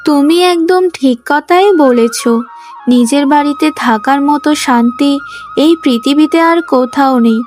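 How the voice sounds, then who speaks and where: A woman's voice: high-pitched, a little slow, a little mellow, faint music behind. A woman voicing a contented young wife in a cartoon, agreeable and supportive. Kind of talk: cartoon voice acting.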